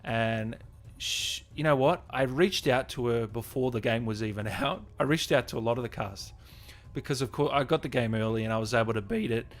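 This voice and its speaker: A man's voice: medium pitch, a little fast. A man hosting a gaming livestream, chatting casually and informally to his viewers in a chummy way. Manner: chummy, informal, addressing viewers as guys and brother. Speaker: host of a gaming channel livestream.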